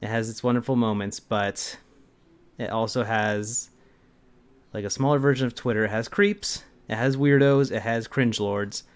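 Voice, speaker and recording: A man's voice: lowish voice, fairly even intonation, normal speed, smooth. A young adult man co-hosting a video in casual, informal chat, with some loose swearing. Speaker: video co-host.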